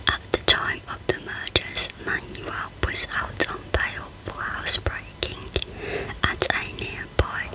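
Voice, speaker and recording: Slow whispering woman, ASMR narrator. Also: low-quality recording. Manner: hushed, unvoiced whispering. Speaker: host of a true crime channel.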